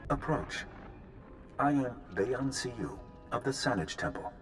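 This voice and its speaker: A man playing a serene ancient Jedi holocron teacher in a video game, speaking in a measured, formal, unemotional way. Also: medium pitch, animated, a bit bright. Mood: serene, solemn. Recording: game music underneath.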